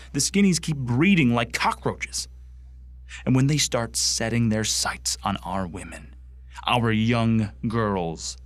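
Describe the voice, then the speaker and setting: A man's voice: mid-range voice, dry recording, close to an everyday voice. A young man acting a bitter, bigoted Martian recruit in a radio drama, ranting with contempt. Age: young adult. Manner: hateful, contemptuous ranting monologue.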